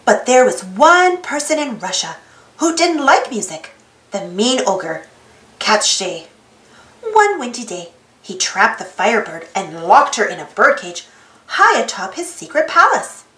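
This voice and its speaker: High-pitched, animated woman reading to children. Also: a little slow, echoey room, poor audio. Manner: expressive, addresses young listeners with questions. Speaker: storybook reader for children. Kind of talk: animated children's read-aloud narration.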